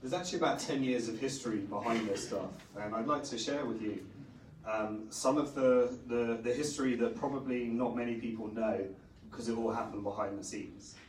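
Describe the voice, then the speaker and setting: A middle-aged man with a hoarse, croaky voice gives a rambling conference talk, full of fillers. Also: mid-range voice, fairly even intonation, moderate pace. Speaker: conference speaker. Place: conference stage. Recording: echoey hall, distant mic, faint noise.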